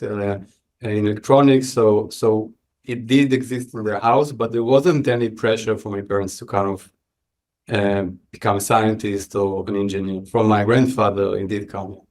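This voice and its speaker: A man with an Israeli accent answering interview questions reflectively, often pausing mid-sentence. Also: on the low side, fairly even intonation, darkish tone. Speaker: podcast guest, entrepreneur.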